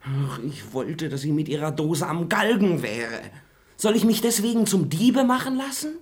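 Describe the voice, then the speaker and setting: A man's voice: on the high side, very animated, moderate pace. An actor playing a cheeky, quarrelsome male servant who talks in a brash, grumbling way. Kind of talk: radio play acting.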